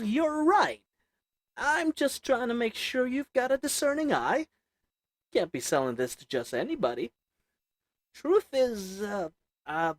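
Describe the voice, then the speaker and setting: A man's voice: high voice, no room sound, exaggerated voice. A man voicing a sly little old goblin merchant who sells shady goods, talking in a wheedling folksy drawl.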